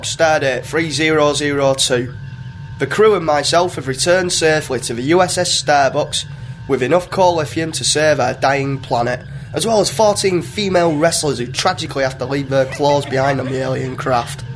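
An actor playing a dim, gung-ho starship captain in a comedy radio play, blunt and mock-heroic. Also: male voice, fairly high pitch, close to an everyday voice.

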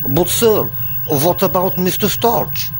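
A man's voice: high voice, lively intonation, slow pace, a little mellow, faint music behind. A man playing a dutiful, self-important starship second officer in a comedy radio play.

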